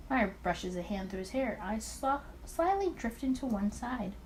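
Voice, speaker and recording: A woman's voice: fairly high pitch, lively intonation, normal speed, darkish tone, slight background noise. A woman reading a visual novel aloud expressively, occasionally stumbling over words and repeating them.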